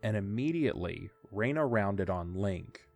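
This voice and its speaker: A man narrates a scripted story. His voice sits fairly low. Music runs behind the narration. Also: animated, a little slow, gravelly, a little mellow.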